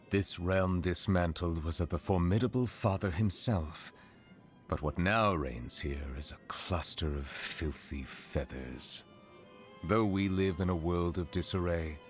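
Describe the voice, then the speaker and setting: A man playing a formal, reserved and courteous chief justice in a video game, speaking in a measured, eloquent, solemn way. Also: low voice. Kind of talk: scripted character voice lines.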